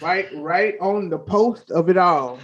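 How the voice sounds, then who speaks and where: A man's voice: high voice, slow, muffled recording. A man co-hosting a talk show with casual, playful banter, repeating phrases for emphasis.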